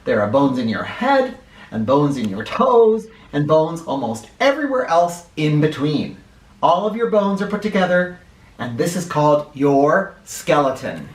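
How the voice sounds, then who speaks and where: A man reading a children's picture book aloud in an expressive, instructive way, speaking directly to young listeners. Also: a little high, unhurried. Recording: roomy echo, distant from the microphone.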